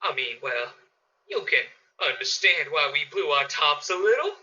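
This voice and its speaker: A hot-headed suburban neighbor in a radio play, acted out by a man. His voice is higher than most men's and piercingly bright, on a dull, lo-fi recording. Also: sing-song, normal speed, full character voice. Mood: sheepish, nervous. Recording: dull lo-fi audio.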